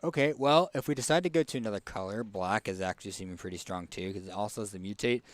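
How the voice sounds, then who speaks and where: Raspy man thinking aloud over a game. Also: fairly low pitch, quick pace, no room sound. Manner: thinks aloud, hesitant fillers and restarts. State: hoarse, tickly throat. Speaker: streamer. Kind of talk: casual gameplay commentary.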